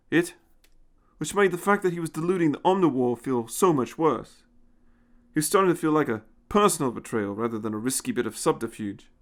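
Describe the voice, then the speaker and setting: Lively male story narrator. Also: mid-range voice.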